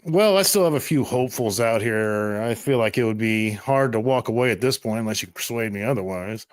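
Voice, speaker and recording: A man's voice: on the low side, brisk, natural voice. A man playing a sleazy, chummy party recruiter, casual and slangy, in an improvised tabletop roleplay. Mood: chummy, bargaining.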